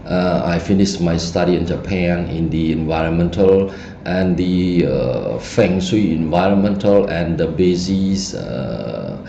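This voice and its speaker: A man from Cambodia with a Cambodian accent, whose first language is Khmer, giving a feng shui lesson as an online presentation in halting English, full of fillers and restarts. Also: low voice, gravelly, mellow. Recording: dull muffled recording, roomy echo, faint noise.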